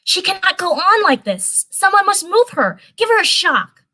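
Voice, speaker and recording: Earnest young fairy girl, acted by a woman, cartoon fan-story style, pitched high, pleading and emphatic. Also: very animated, moderate pace, bright, forceful tone, heavily acted. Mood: worried, pleading.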